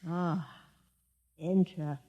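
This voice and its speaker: A man's voice: on the high side, fairly even intonation, very slow, very noisy. A man in a 1950s radio drama playing a guarded, suspicious doorkeeper. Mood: wary. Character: wary male doorkeeper, guarded and pious. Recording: dull old broadcast with long reverb.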